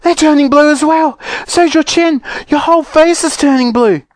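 Casting: storybook reader playing horrified middle-aged mother, shrill, high-pitched screamer, frantic and demanding. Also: moderate pace, dry recording, clean studio sound, natural voice. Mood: frantic horror.

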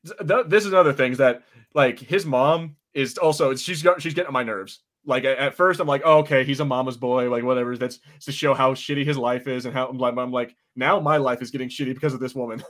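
A relaxed man co-hosting a podcast at an ordinary middle pitch. He chats loosely, laughs a lot and now and then slips into quoted voices. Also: quick pace. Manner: laid-back, laughing, puts on quoted voices. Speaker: podcast co-host. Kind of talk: casual chat reading live chat comments.

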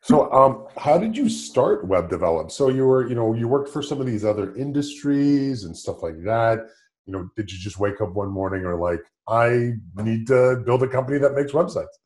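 A man's voice: mid-range voice, lively intonation, moderate pace, darkish tone. A man hosting a podcast, reading a scripted opening, then asking upbeat questions full of fillers. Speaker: podcast host. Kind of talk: scripted intro then casual interview.

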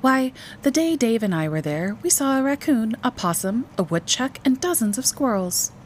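A woman acts out an enthusiastic storybook mother with a lifted, higher pitch. She gushes and coaxes dreamily, with faint noise behind her. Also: very animated, normal speed. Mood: dreamy enthusiasm. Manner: gushing, coaxing. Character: enthusiastic mother, brightly persuasive, dreamy, easily exasperated. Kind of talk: storybook character voice.

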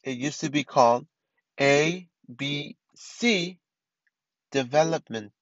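A man's voice: on the high side, takes it slowly, dry recording, dull audio. A man in his twenties hosting a casual solo podcast, rambling with many restarts and fillers. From East Los Angeles. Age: 20s. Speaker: podcast host.

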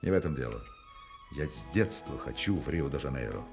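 An actor playing a witty, self-assured con artist in a radio play, with an ironic, theatrical and commanding manner. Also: male voice, very deep voice, lively intonation, brisk. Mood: wistful, ironic. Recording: radio play with music behind.

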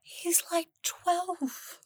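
Jittery, sarcastic young girl for fantasy audio drama female actor. High-pitched, unhurried pace, informal and blurting. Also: fairly even intonation, dry recording, studio quality, full character voice. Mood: amused, curious.

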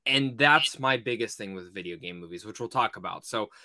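A man's voice: on the low side, brisk, bright, forceful tone, no echo. A man hosting a casual film review podcast, speaking informally and emphatically, often repeating phrases for stress.

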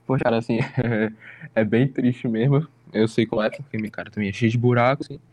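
Fast-talking guy. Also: medium pitch, steady tone, a little mellow, dry recording. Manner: quick, easygoing, laughs along. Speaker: podcast guest. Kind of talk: casual chat. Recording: faulty mic, thin sound.

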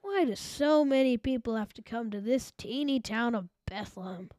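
A high-voiced performer playing a grumpy, harried male innkeeper in a children's Bible drama, complaining and blustering. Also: animated, moderate pace, a little mellow, dry recording, studio quality, close to an everyday voice. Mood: exasperated, grumbling. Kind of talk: children's radio-play style Bible dramatization.

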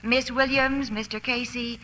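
A woman's voice: a little high, fairly even intonation, unhurried, pushed and bright, low-quality recording. A woman acting a genteel, formal young heiress in an old-time radio drama. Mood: gracious, composed. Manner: formal, proper. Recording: faint noise on old radio recording.

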